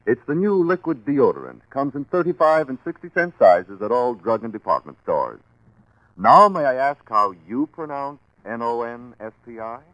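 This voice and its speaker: A man's voice: medium pitch, sing-song, normal speed, fairly bright tone, no echo. An American man announcing on 1940s radio in a scripted commercial delivery. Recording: dull, dry 1940s radio broadcast.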